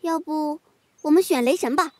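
A woman's voice: high-pitched, normal speed, dark tone, dry recording, natural voice. A woman playing a sweet, pouting young schoolgirl in a children's audio drama.

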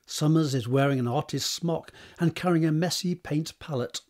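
A man's voice: a little high, moderate pace, a little mellow. A man narrating scripted stage directions for a drama.

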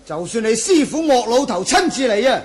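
A man's voice: extremely high pitch, very animated, brisk, husky, exaggerated voice. A man acting in a Cantonese martial-arts radio drama from Hong Kong, voicing a boastful, menacing, lecherous bandit. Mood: taunting. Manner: boastful, taunting.

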